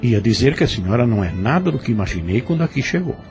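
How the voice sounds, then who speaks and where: An actor in an audio novel playing a courteous, reserved, educated farm overseer who speaks in a formal, measured, gallant way. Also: male voice, medium pitch, sing-song, darkish tone, faint music behind. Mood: sincere, reflective.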